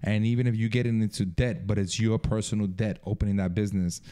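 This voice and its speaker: A man's voice: on the low side, flat delivery, fast, warm tone, clear, resonant tone. A man who runs his own businesses, speaking as a podcast guest in casual chat, emphatic and peppering his talk with "man" and "dude". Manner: emphatic, says "man" and "dude" and "correct" often.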